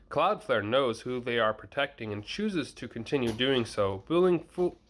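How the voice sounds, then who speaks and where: A man's voice: medium pitch, animated, takes it slowly, a little hiss or hum. Man reading an article aloud. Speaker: host reading a blog post aloud. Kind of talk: reading aloud, with casual asides.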